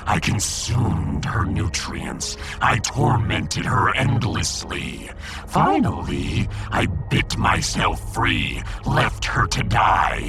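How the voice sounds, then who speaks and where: Villainous alien fish overlord: croaky, pompous, theatrical, wildly swinging intonation. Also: male voice, very deep voice, exaggerated voice. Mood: grimly proud. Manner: pompous, theatrical, slow and deliberate. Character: pompous alien fish emperor, menacing self-styled god. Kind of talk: sci-fi audio drama acting.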